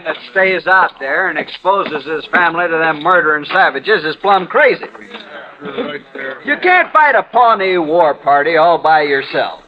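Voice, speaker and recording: An American actor with a rural accent playing an angry, bitter settler in a 1950s radio western, indignant and folksy. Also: male voice, a little high, lively intonation, measured pace. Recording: dull vintage radio recording, crowd behind.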